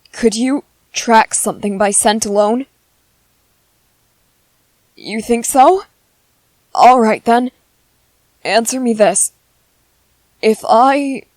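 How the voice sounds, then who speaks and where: A woman voicing a gentle, clever young male soldier in an ASMR roleplay, speaking softly and politely with hesitant ums. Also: a little high, no echo.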